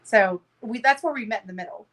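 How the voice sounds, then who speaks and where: A woman's voice: on the high side, fairly quick, a bit bright. A brash, emphatic woman telling things in a casual group chat over a video call, swearing freely. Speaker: panel guest.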